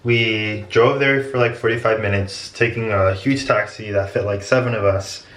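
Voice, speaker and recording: A man's voice: fairly low pitch, fairly even intonation, normal speed, a bit bright. A young American man from the United States vlogging as a study-abroad student, talking casually and rambling with lots of fillers while walking outdoors on city streets. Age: young adult. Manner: rambling, filler-heavy, chatty. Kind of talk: casual vlog narration. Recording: street noise behind.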